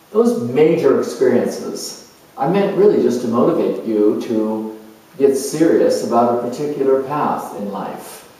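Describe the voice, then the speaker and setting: A man playing an earnest, reflective spiritual seeker in a dramatized monologue, speaking haltingly and conversationally with pauses. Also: mid-range voice, a little mellow, lightly acted. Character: earnest spiritual seeker, male adventurer turned motivational broadcaster. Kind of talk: monologue in character, delivered conversationally. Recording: echoey room, distant microphone.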